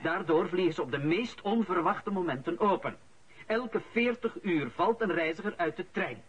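A man's voice: high voice, animated, normal speed, lo-fi sound. An actor playing an indignant, defensive male suspect who argues back with a Flemish accent in a radio play. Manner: argumentative, protesting. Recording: radio play with clear background noise.

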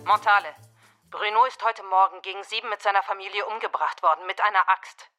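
An actress playing a brisk, distrustful policewoman in a German radio drama, direct and probing. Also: mid-range voice, fairly even intonation, over loud music, lightly acted. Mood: urgent, businesslike.

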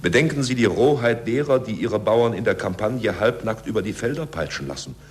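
An actor playing an urbane, authoritative, eloquent cardinal in a 1940s German radio play, on an old recording. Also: male voice, lowish voice, animated, a little fast, slight background noise, natural voice. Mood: grave, admonishing. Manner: eloquent, rhetorical. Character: urbane, worldly cardinal, courteous yet firmly authoritative.

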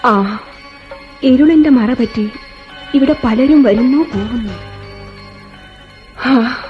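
A wary Malayali household mistress in a radio drama. Her voice is very high and she gives instructions curtly. The sound is dull and muffled, with faint music. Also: slow pace. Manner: curt, instructing.

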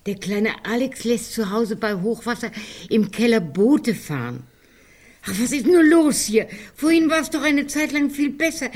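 A woman's voice: fairly high pitch, lively intonation, a little slow, darkish tone. An actress in a radio play voicing a practical but anxious woman, plain-spoken and somewhat fretful with her friends.

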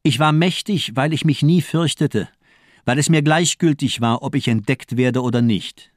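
An actor playing a fanatical, cold-blooded doctor and torturer in a crime radio play, declaiming in a grandiose, rhetorical way. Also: male voice, mid-range voice, moderate pace, dry recording, studio quality, natural voice. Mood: cold, challenging. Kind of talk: dramatic radio play monologue.

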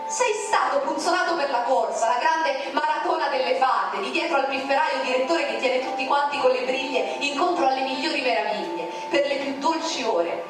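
Woman, high voice, declamatory poetry reader, far off in a booming room. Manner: declamatory, with sharp swings in pitch. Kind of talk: dramatic poetry recitation. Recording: very echoey, distant, with music. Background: music.

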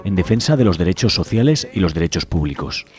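A man's voice: sits low, very animated, fast, a little mellow. A man with an accent from Spain, hosting community radio and reading news headlines. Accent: Spanish, from Spain. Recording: music bed behind voice. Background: background music.

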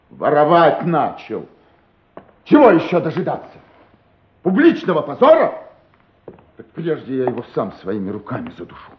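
A man's voice: on the high side, roomy sound, muffled recording, heavily acted. An actor playing a stern, wrathful father who speaks formally, with scorn and menace, in a radio drama.

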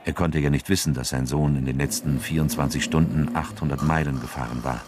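A man's voice: extremely low pitch, steady tone, fairly quick, noisy background. A man narrating a radio drama from a script, in the role of storyteller.

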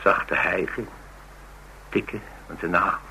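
A rugged, blunt man speaks in a low, forceful voice. He tells his story with dry humour in a colloquial radio play manner, over some background noise. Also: fairly even intonation, a little slow, creaky, full character voice, rough recording. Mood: grim relish. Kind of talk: Dutch radio drama dialogue, conversational storytelling.

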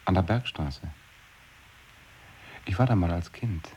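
An actor playing a deadpan man in an experimental radio play, arguing absurd points with dry logic. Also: low voice, a little slow, dull audio, slight background noise.

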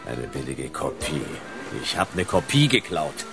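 A man playing a gruff, hard-boiled detective in a sci-fi radio drama, terse and laconic with a gravelly voice. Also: a bass voice, big pitch swings, measured pace, music in the background, exaggerated voice. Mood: shocked, puzzled. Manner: terse, laconic noir delivery. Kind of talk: German sci-fi radio drama acting and narration.

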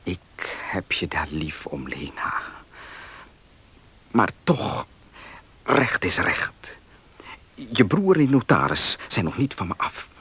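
A man playing a determined, suspicious fiancé in a Dutch radio play, earnest and insistent, with a husky, breathy voice. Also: low voice, big pitch swings, slow pace, long pauses, a bit bright, heavily acted.

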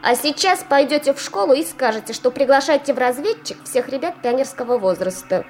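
A woman's voice: high voice, animated, a little fast, soft background music. An actress in a radio play voicing an imperious, cheeky schoolgirl who gives emphatic orders to grown-ups. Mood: bossy, commanding. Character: bossy, imperious schoolgirl giving orders to adults. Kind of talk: theatrical radio drama acting.

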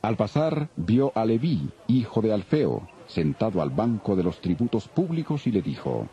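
A man's voice: fairly low pitch, very animated, normal speed, mellow, breathy edge. A man narrating the Bible in a solemn, dramatic, scripted storytelling style. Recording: dull lo-fi, music behind.

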